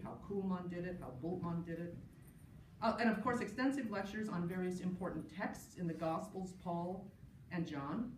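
A woman's voice: lowish voice, a little mellow. Casting: woman, middle-aged scholar giving a wry conference tribute, distant mic, reverberant room. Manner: wry, anecdotal, amused asides. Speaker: panel speaker, New Testament scholar. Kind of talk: personal tribute talk at an academic conference, part read from notes. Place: large indoor conference session room. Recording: roomy, distant mic, clear background noise.

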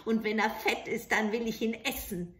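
A woman's voice: on the high side, steady tone, moderate pace, pitched above the performer's own voice, lightly acted. A woman voicing a greedy, cruel old witch from a fairy tale, barking orders and insults in an impatient manner. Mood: scolding, bossy.

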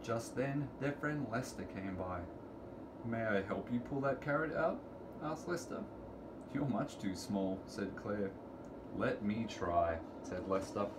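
A man's voice: on the low side, expressive, slow. Man reading a picture book, noisy recording. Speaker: children's story reader. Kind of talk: read-aloud picture book storytelling for preschoolers. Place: indoors in a room at home. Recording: clearly noisy home recording, room ambience.

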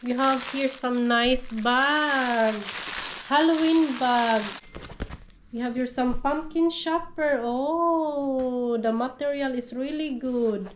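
Woman with a cold, high voice, slow unhurried talk, gushing, crinkling packaging, faint noise. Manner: gushing, unboxing items on camera. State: has a cold. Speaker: haul vlogger. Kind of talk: casual product haul. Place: living room at home.

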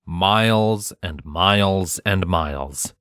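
A man's voice: low-pitched, slow, a bit bright, dry recording, clean studio sound. A man reading a scripted story as its narrator. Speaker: audiobook-style fan fiction narrator.

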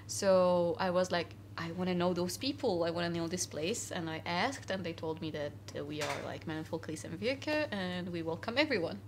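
A woman's voice: lowish voice, normal speed, few pauses, a little hiss or hum. A young woman from Syria, a guest in a casual interview, talking earnestly with many fillers and restarts in a cafe. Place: cafe, indoors.

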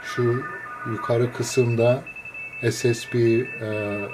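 A man, a radio hobbyist, explains a receiver in a casual, unscripted walkthrough, pausing between short phrases. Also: mid-range voice, flat delivery, over music. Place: indoors, filming a computer screen.

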